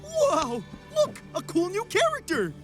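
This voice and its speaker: Easily impressed youngster, extremely animated, high pitched, casual cartoon delivery. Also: female voice, takes it slowly, over loud music, exaggerated voice. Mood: awed. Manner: gushing, casual. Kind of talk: cartoon voice acting.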